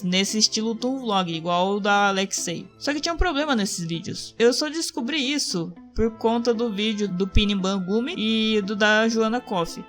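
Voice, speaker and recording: A woman's voice: a little high, a bit bright. A woman with a Brazilian Portuguese accent, chatting casually in a commentary vlog and often tagging her sentences with a question. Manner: chatty, hedging with frequent "né?". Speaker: youtuber commenting on a trend.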